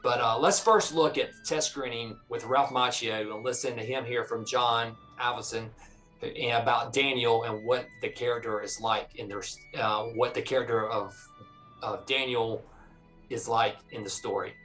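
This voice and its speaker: A man hosting a film-fan video series, talking casually in a halting, rambling way and often correcting himself. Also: medium pitch, steady tone, a bit bright, faint music behind, low-quality recording.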